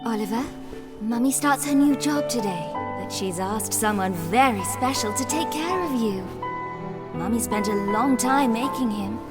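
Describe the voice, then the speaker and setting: A woman playing a tender, doting mother who soothes her young son in an animated fantasy game. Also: fairly high pitch, normal speed, over loud music, natural voice. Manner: affectionate, soothing.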